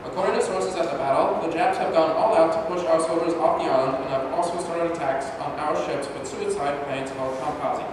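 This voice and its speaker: Wartime radio news announcer, acted by a man, slightly high voice, distant stage reading. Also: brisk, few pauses, close to an everyday voice. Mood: formal, reporting. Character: 1940s radio newsreader reporting war news. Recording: echoey hall from far off, noisy.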